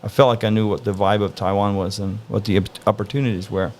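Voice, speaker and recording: A man from Norway answering interview questions in a relaxed, thoughtful way, pausing often to find his words. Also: lowish voice, a little hiss or hum. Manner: relaxed, thoughtful, frequent "uh" pauses. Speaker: interview guest.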